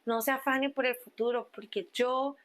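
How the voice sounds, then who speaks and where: A woman's voice: fairly high pitch, fairly even intonation, normal speed, a bit bright. A young adult woman, talking casually and conversationally as a guest on a podcast.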